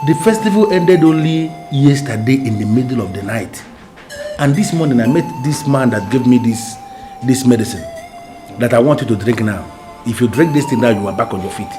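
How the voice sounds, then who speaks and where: A man's voice: mid-range voice, animated, brisk, music in the background, lightly acted. An actor playing a man who insistently urges his brother to take a medicine. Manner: insistent, persuasive. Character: middle-aged man, insistent persuading brother. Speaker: uncle, brother.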